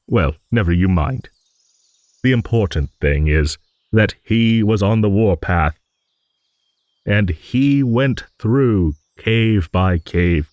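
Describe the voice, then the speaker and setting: A man's voice: fairly low pitch, darkish tone, no room sound, studio quality. A man playing a genial, nostalgic old dragon in an improvised fantasy monologue, musing and rambling with hums and hesitant asides.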